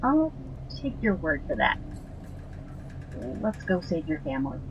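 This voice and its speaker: A woman's voice: fairly low pitch, very animated, a little slow, dull audio, noisy background. A woman playing an earnest, tender magic-user in an audio drama, speaking in a hesitant way. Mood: resolute.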